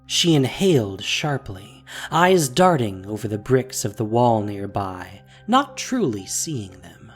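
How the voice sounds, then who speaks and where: A woman narrating a fantasy audio drama in an expressive, scripted storytelling style. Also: extremely low pitch, slow pace, hardly any pauses, soft background music.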